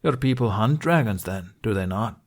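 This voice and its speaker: A man voicing a smug, long-suffering Viking mercenary leader in an audiobook, measured and condescending. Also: lowish voice, animated. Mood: probing.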